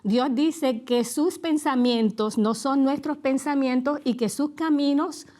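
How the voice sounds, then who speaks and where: Puerto Rican woman co-hosting a faith show, somewhat high-pitched, easygoing personal storytelling. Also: measured pace. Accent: Puerto Rican Spanish. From Puerto Rico. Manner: relaxed storytelling with light laughs. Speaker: co-host and guest. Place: TV studio.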